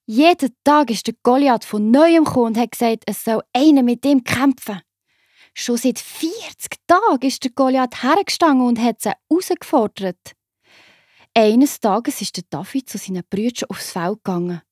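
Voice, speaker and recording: A woman's voice: fairly high pitch, lively intonation, normal speed, a little mellow, dry recording, studio quality. A woman with a Swiss German accent narrating a scripted children's story expressively.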